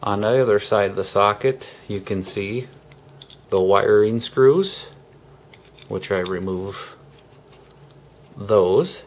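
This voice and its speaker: A low-voiced man walks through a hands-on job in a casual, unhurried way. His delivery is halting and broken into short phrases, with a lot of creak in the voice. Some steady room noise sits behind him. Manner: halting, pausing mid-sentence in short phrases. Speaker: homesteader host of a DIY tutorial. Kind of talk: casual hands-on how-to explanation.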